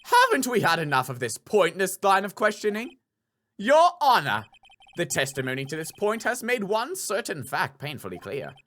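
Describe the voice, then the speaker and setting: Guy doing a snooty prosecutor from a courtroom game, sharp and swoopy, smug. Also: sits high, huge pitch swings, normal speed, pitched above the performer's own voice, exaggerated voice. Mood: smug, forceful.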